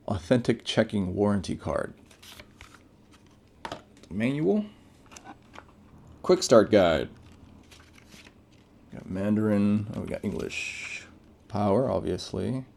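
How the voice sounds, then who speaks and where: A man's voice: lowish voice, expressive, takes it slowly, gravelly, long pauses, a little hiss or hum. A man giving casual, off-the-cuff unboxing commentary as a tech reviewer, laid-back and informal with plenty of slang.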